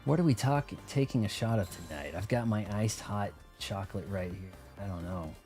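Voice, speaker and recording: A man's voice: fairly low pitch, normal speed, a little mellow. A man giving casual, rambling let's play commentary on a video game, talking to himself as he goes. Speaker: streamer playing a video game. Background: game music.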